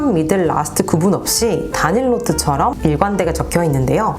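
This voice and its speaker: A woman perfumer calmly reviewing a fragrance, her voice a bit nasal and stuffy from a head cold. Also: fairly low pitch. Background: background music.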